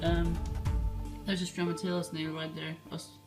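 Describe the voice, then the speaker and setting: Flat-voiced young man with Danish accent. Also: a little high, normal speed. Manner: rambling, full of ums and restarts. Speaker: aquarium hobbyist vlogger. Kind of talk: casual show-and-tell vlog. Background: music.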